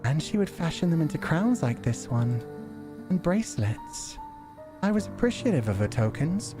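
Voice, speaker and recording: Man voicing a softly formal, higher-pitched mermaid woman. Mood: fond, wistful. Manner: formal, old-fashioned, unhurried diction. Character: gentle, benevolent, subtly manipulative female mermaid.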